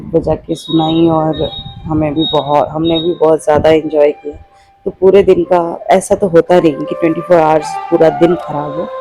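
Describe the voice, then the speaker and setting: Female vlog narrator, reflective delivery; mellow tone with gravelly fry. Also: low voice, poor audio. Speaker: daily vlogger. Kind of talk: personal vlog voice-over narration.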